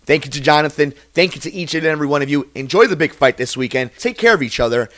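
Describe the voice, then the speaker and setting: A man's voice: on the high side, fast talker, a bit bright, no echo. Effusive American man hosting a podcast. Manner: effusive, earnest. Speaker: podcast host and interviewer. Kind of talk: casual podcast interview and sign-off.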